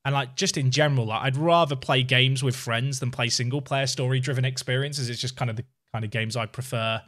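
A man's voice: mid-range voice, fairly even intonation, a little fast, a bit bright. A man in his thirties chatting casually and commentating as he livestreams a game from a small room at home. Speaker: game streamer.

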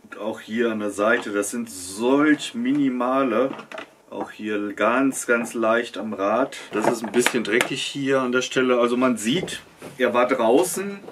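A man's voice: medium pitch, measured pace, background noise. A man in his fifties giving casual unboxing commentary as a product reviewer, chatting and thinking aloud as he inspects things at a table in a small wood-panelled room.

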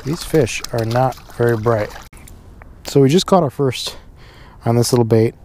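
A man's voice: medium pitch, normal speed, darkish tone. A man, chatting to a chest-mounted camera while fishing on a windy, cold pond shore. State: cold, runny nose. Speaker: fishing vlogger. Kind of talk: casual outdoor narration. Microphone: action camera on chest harness.

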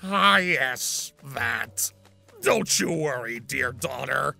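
A performer voicing an ancient male centaur villain in a cartoon story, lovesick and doting, gravelly and theatrical. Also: mid-range voice, lively intonation, drawn-out words, pushed and bright, faint music behind, full character voice. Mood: cheerful, doting. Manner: theatrical, syrupy, grandiose. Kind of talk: cartoon fan fiction voice acting.

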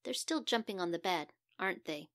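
A woman's voice: fairly low pitch, expressive, brisk, fairly bright tone, no echo. A firm, admonishing fairy-tale doctor played by a woman storyteller. He is caring yet exasperated, and the sound is close and dry.

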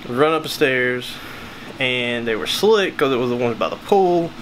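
A man's voice: mid-range voice, animated, a little slow, a bit bright, a little hiss or hum, poor audio. A young man vlogging casually in a small cabin, talking at arm's length to his camera with a rambling, self-deprecating manner. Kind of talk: casual vlog chat. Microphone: camera held at arm's length.

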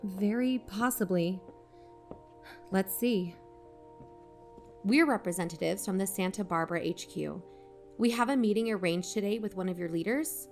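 A woman playing an earnest investigative podcast host, narrating in a composed, measured way. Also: mid-range voice, darkish tone, soft background music, close to an everyday voice. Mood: formal, earnest. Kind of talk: scripted narration in audio drama.

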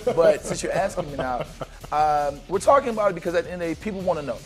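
Animated man arguing sports picks. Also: a little high, faint music behind. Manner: animated, argumentative, rapid lists. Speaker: studio analyst, former player. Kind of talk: studio sports talk. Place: studio set with couches. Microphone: lapel.

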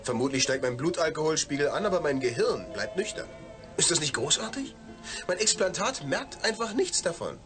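A man's voice: fairly high pitch, very animated, normal speed, over music. An actor playing a cheerful, tipsy male astronaut chatting in a radio play. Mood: cheerful, chatty.

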